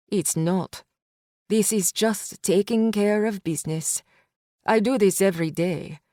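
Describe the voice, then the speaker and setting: Woman doing a menacing gangster man, cold, taunting, lowered voice, slow and deliberate, dry close recording. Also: mellow, dry recording, close to an everyday voice. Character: menacing male gangster father, cold and threatening.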